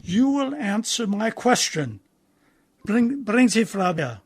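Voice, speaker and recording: An actor playing a stern, pompous naval officer in a radio play, questioning in a formal, commanding way. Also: male voice, high-pitched, very animated, takes it slowly, slightly rough, full character voice.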